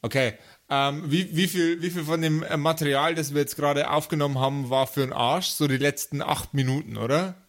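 A man's voice: on the high side, steady tone, fairly quick, hardly any pauses. A man chatting casually in a crude, goofy way, dropping English slang into his talk. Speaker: podcast game master.

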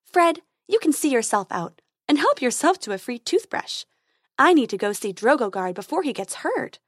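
A woman's voice: sing-song, normal speed, no room sound, studio quality. A woman voicing a sweet, chirpy female tooth fairy. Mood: kindly. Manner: bright, high and chirpy.

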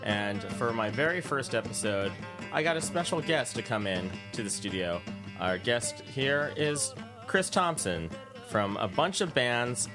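A man's voice: mid-range voice, big pitch swings, unhurried, music in the background. A man hosting a casual interview show on the radio, chatting informally from a studio.

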